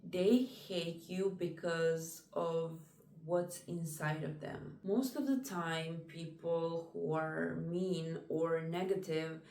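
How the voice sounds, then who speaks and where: A young woman talking to camera about personal struggles in a soft, reflective way, with many "like" fillers. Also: deep voice, steady tone, slow, reverberant, far from the mic. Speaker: vlogger.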